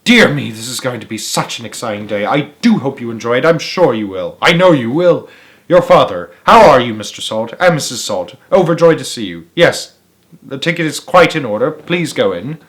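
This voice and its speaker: Man playing gushing, excitable little chocolate-maker Willy Wonka. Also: mid-range voice. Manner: effusive, exclamatory, rapid strings of pleasantries. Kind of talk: storybook read-aloud character voice.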